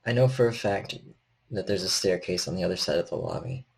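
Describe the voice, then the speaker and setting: A man's voice: low-pitched, a little fast, gravelly, a little mellow, breathy edge, pitched below the performer's own voice, heavily acted. A man playing a nervous, weary repairman in a tabletop game, cautious and helpful, speaking in hesitant stammers.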